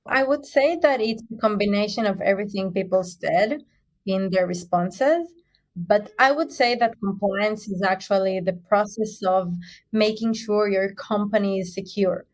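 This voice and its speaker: A woman's voice: medium pitch, darkish tone. A woman from Chile, a native Spanish speaker, giving explanatory answers as an interview guest and restating her points. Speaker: guest, head of information security.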